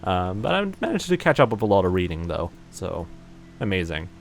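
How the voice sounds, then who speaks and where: Male streamer, lowish voice, loose rambling game-stream chatter, faint music underneath. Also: very animated, a little fast, vocal fry. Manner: rambling, many fillers, self-mocking. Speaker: livestreamer. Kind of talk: casual gaming livestream commentary.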